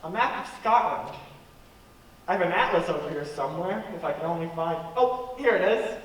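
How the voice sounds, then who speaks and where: An actor playing a sceptical, easygoing young gentleman in a radio play. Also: on the high side, lively intonation, normal speed. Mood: dry, sceptical. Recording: very echoey hall, distant mic.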